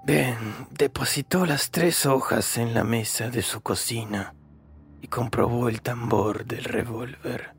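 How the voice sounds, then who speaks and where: A man narrating a scripted horror story in a measured, dramatic manner. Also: mid-range voice, gravelly.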